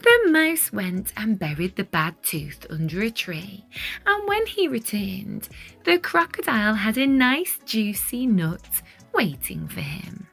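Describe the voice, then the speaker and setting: A woman reading a children's picture book aloud in an expressive, deliberate storytelling style with dramatic stress on key words. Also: medium pitch, gravelly, soft background music.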